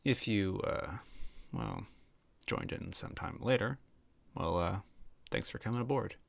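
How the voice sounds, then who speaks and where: Low-voiced, creaky man reading a story. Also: lively intonation, unhurried, dry recording. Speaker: host of a story read-aloud series. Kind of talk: casual intro and first-person scripted narration. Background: background music.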